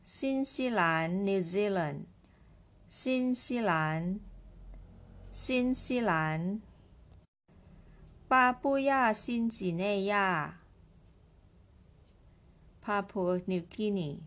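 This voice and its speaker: Slow-speaking woman teacher. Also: mid-range voice. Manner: repeats each word slowly for practice. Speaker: language teacher. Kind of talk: slow lesson with drills.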